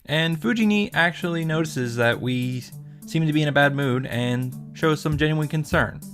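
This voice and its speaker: A man's voice: on the high side, normal speed, a bit bright. A man hosting a visual novel reaction summary, narrating casually and comedically with sarcastic, sweary commentary. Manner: sarcastic, profane. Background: music.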